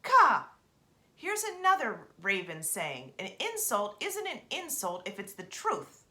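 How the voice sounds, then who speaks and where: A woman voicing a cunning, bossy female raven with high squawking cries. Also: huge pitch swings, measured pace, pushed and bright, heavily acted.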